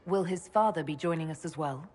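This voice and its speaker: An actress voicing an elven leader in a video game, speaking plainly and directly. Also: female voice, sits low, fairly quick, darkish tone, breathy edge. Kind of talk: video game cutscene dialogue.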